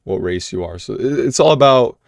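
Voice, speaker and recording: A man's voice: mid-range voice, expressive, fairly quick, creaky, no echo, clean studio sound. A young American man answering viewer questions in a casual vlog, talking loosely with lots of fillers and restarts. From United States. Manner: casual, filler-heavy with many "you know"s and restarts.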